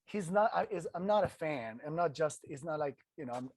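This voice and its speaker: A man from Italy with an Italian accent, telling his story as a podcast guest in an earnest, halting way full of restarts. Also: on the high side, lively intonation, a little mellow, no room sound. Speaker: guest, ultrarunner. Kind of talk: casual interview storytelling.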